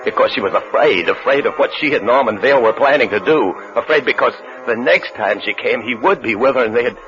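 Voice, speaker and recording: An American man, an actor playing a paranoid husband in hiding, in halting, haunted 1950s radio suspense style. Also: medium pitch, natural voice. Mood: fearful brooding. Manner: haunted, halting, repeating phrases. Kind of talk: 1950s radio suspense acting, first-person narration and dialogue. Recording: muffled old radio broadcast with music.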